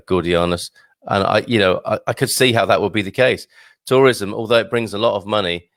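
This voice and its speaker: Gravelly, chatty host. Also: male voice, on the low side, fairly bright tone, dry recording, studio quality. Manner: chatty, wry asides, stops to react. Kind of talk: casual news show, reading viewer comments.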